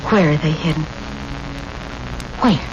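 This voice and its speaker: A woman's voice: low-pitched, measured pace. An actress playing an earnest, pleading village wife and mother in a 1970s radio drama, with an intense manner. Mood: intent, coaxing. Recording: muffled, noisy old radio recording.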